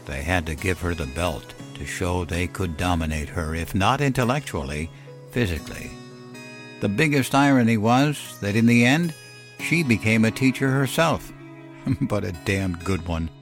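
A man narrating a scripted first-person story in a measured, solemn, reflective way, as on a fiction podcast. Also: deep voice, animated. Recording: music behind the voice. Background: clear music bed.

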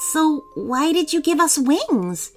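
A woman's voice: sits high, very animated, slow pace, soft background music, voice pushed up, exaggerated voice. A woman voicing an eager, insistent young girl in a children's story. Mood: astonished.

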